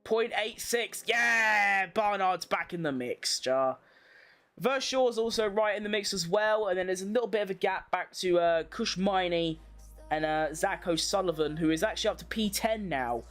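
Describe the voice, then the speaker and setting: A woman's voice: medium pitch, animated, normal speed, a bit bright. Casual woman doing live race commentary. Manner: informal, slangy, thinking aloud. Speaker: livestream watchalong host.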